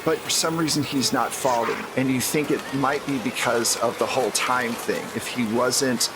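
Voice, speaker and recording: A man's voice: mid-range voice, steady tone, normal speed, background noise. A man narrating a tabletop role-playing game as game master, in a conversational, descriptive storytelling manner. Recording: background music under the voice.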